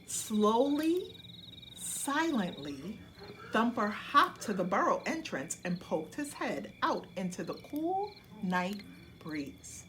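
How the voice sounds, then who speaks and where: Expressive, unhurried woman storyteller. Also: on the high side, a little hiss or hum. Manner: expressive, deliberate. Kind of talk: scripted children's bedtime story narration.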